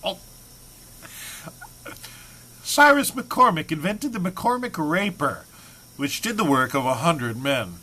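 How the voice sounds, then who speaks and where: A man's voice: on the high side, very animated, slow, long pauses, bright, forceful tone. A man giving a dramatic comic reading of a humorous text, mock-serious in delivery with dry muttered asides. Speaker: reader.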